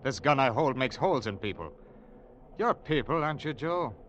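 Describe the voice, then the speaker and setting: Guy acting a cool taunting gunman, theatrical, very animated, dull hissy 1940s radio play. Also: medium pitch, normal speed, natural voice. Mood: taunting menace. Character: cool, menacing gunman, an insurance investigator. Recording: muffled, noisy old radio recording.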